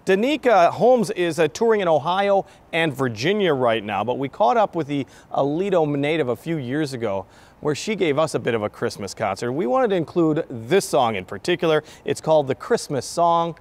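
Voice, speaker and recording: A man's voice: a little high, big pitch swings, normal speed. A middle-aged man hosting and interviewing on local television, recorded on a lapel mic. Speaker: TV host. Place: large indoor hall decorated with Christmas trees.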